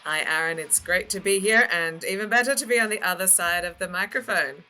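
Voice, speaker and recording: A young Australian woman answering interview questions as a guest, articulate and emphatic, with measured phrasing. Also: lowish voice, pushed and bright. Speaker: podcast guest, construction coach. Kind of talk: interview talk.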